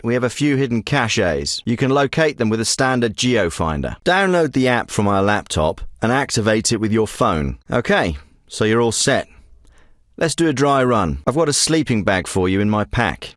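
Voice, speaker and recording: A man voicing a commanding special forces officer in a war game, who speaks in terse radio calls with a dry wit. His pitch moves a lot as he talks. The studio sound is close and dry. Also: no echo, natural voice. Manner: clipped military radio talk. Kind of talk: video game voice acting.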